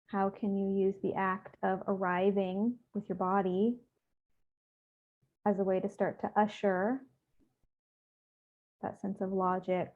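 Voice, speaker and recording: A woman's voice: medium pitch, level tone. Woman guiding meditation over thin, phone-like audio. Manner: gentle, unhurried, soothing instruction with pauses. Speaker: guided meditation teacher. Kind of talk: guided meditation.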